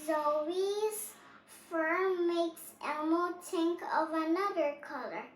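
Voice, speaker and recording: A child reading a picture storybook aloud in a halting, word-by-word manner. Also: extremely high pitch, a little hiss or hum. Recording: roomy, echoing sound.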